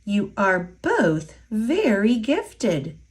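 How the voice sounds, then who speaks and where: A woman voicing an encouraging female ballet teacher in a children's storybook, speaking gently. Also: mid-range voice, wildly swooping pitch, extremely slow, heavily acted.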